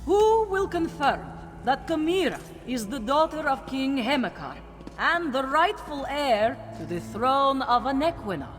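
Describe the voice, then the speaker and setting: An actress voicing a solemn catfolk priestess in a video game, proclaiming in a ceremonial manner. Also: female voice, sits high, big pitch swings, a little slow, soft background music. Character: solemn catfolk priestess presiding over a coronation. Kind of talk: scripted video game voice acting. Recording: long hall reverb.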